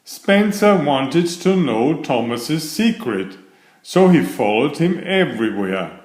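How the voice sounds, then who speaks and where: A man reading a children's bedtime story aloud in a deliberate, expressive storytelling manner. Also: on the high side. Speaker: storybook reader. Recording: roomy, echoey sound.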